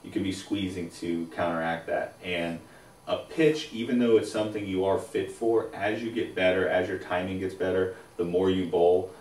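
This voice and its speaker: Low-voiced man in a roomy studio. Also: normal speed. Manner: relaxed, explanatory, stacks up phrases with fillers. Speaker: co-host of a bowling advice show. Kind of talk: casual expert advice chat. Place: indoors, small studio against a plain backdrop. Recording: roomy echo, voice a bit far from mic.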